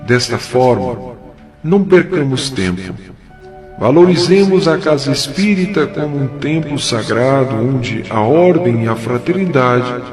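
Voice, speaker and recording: Man playing a slow, solemn spirit mentor over music. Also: mid-range voice, natural voice. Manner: measured, exhorting, earnest. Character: benevolent male spirit mentor, solemn and kindly preacher. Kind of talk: solemn audio drama sermon. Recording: music behind the voice.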